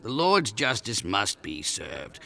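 An actor playing a stern, unbending rabbi in a biblical audio drama, pompous and declamatory. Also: male voice, lowish voice, wildly swooping pitch, slow pace, vocal fry, pushed and bright, heavily acted.

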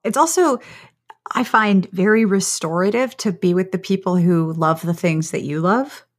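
A woman's voice: fairly low pitch, moderate pace. A woman hosting a podcast interview in casual chat, with a playful, informal way of talking. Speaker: podcast host.

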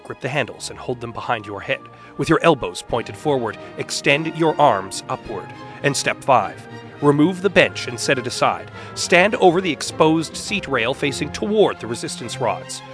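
A man's voice: medium pitch, animated, moderate pace, a bit bright. Man giving a scripted dramatic reading over music. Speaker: announcer and reader. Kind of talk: scripted narration, dramatic reading of ad and manual text. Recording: music behind the voice.

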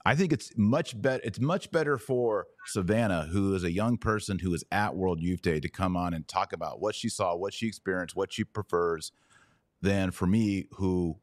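A man's voice: sits low, moderate pace, no room sound, studio quality. A middle-aged man hosting a podcast, chatting casually and informally in a folksy way. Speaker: podcast host. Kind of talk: casual interview talk.

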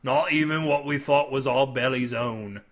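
A man's voice: medium pitch, moderate pace, lightly acted. A man voicing a grave, superstitious old sailor in an audiobook, with ominous, folksy seafaring talk.

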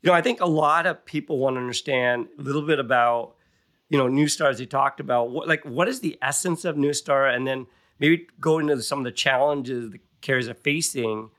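A man's voice: mid-range voice, fairly quick, fairly bright tone. A man hosting a podcast interview, upbeat and welcoming toward his guest. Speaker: podcast host.

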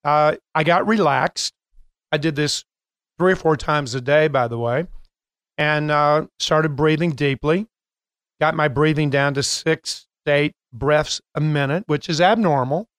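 An older man hosting a coaching podcast, speaking casually with frequent filler pauses. His voice is smooth and clear, set a bit higher than most. The studio sound is dry and close. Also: a bit bright, no echo. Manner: chatty, many filler pauses. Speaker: host and coach.

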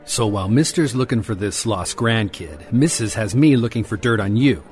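A man's voice: lowish voice, animated, normal speed, a little mellow, faint music behind, natural voice. A man playing a blunt, casual private investigator reporting to a client in an audio drama. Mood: blunt, flip. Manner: flip, matter-of-fact.

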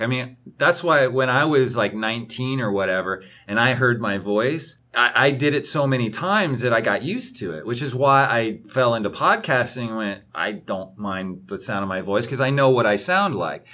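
A man hosting a podcast, explaining his view in a measured, conversational way. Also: mid-range voice, animated, dull audio. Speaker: podcast host. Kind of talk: casual chat.

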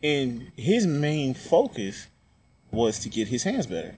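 A man in relaxed, joking, colloquial chat about movies. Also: medium pitch, slow pace. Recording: muffled lo-fi recording with faint hiss.

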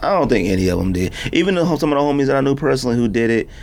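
Animated man chatting in casual slang. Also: mid-range voice, very fast. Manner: informal, animated, laughs easily. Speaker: podcast host interviewing a guest. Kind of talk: casual chat, slangy storytelling.

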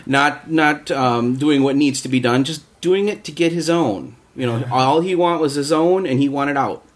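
A man's voice: a little high, normal speed. A man talking casually as a voice actor guest in a round-table chat, informal and rambling, padding his thoughts with "you know".

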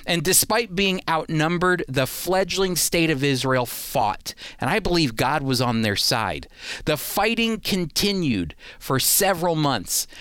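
A man's voice: on the high side, lively intonation, measured pace, fairly bright tone, clear, resonant tone, dry recording. A man hosting a show, giving an explanatory history talk and stressing key points by repeating them. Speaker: show host.

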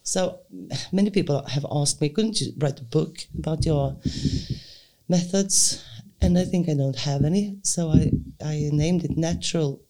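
A middle-aged woman, a singer and voice teacher, talking casually in English with thoughtful, halting phrasing and frequent restarts. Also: sits low, mellow, poor audio. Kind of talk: casual conversation.

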